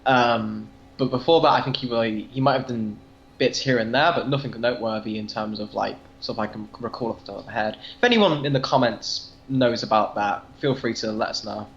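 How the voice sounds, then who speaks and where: A man's voice: mid-range voice, fairly quick, slight background noise. British man, echoey and muffled recording. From Britain. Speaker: podcast guest and comic book fan. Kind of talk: casual opinionated discussion.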